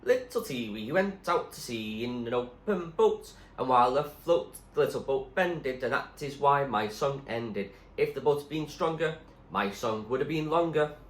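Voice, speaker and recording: A man's voice: mid-range voice, animated, normal speed, voice pushed up, natural voice. A man voicing a plain-spoken, eager young boy castaway in a storybook, with a blunt, earnest way of talking. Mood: playful. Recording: roomy echo, faint background noise.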